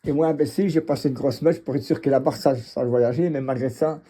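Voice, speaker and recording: A middle-aged man from the Mons region with a Belgian French accent and a husky voice, giving a casual unscripted tour in a halting, blunt, colloquial way. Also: medium pitch, warm tone. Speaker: home gym owner and vlogger.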